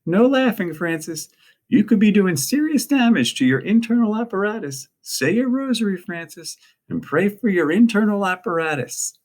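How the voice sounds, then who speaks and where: Man putting on a high-pitched cheeky teenage girl. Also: lively intonation, unhurried, warm tone, heavily acted. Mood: mocking. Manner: mocking, playful. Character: cheeky teasing thirteen-year-old girl, mimicking adults.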